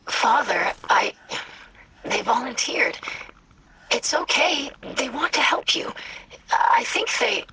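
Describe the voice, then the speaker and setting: Bright-voiced, earnest young alien woman. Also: on the high side, animated, slow pace, long pauses, in a whisper, exaggerated voice, poor audio. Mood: soothing, anxious. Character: young alien scholar daughter, earnest, anxious, gentle. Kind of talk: video game cutscene acting.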